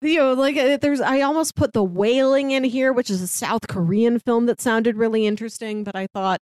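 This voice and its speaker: A woman with a fairly high voice chats casually as a podcast co-host. The sound is dry, with no room echo around her words. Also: normal speed, darkish tone. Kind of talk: casual chat.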